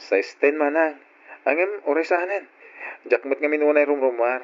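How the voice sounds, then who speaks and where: An actor playing a patient, burdened grown son who cares for his ailing parents, speaking gently and earnestly in an old-time radio drama style. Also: on the high side, normal speed, lightly acted. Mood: earnest, resigned. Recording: dull lo-fi radio drama recording.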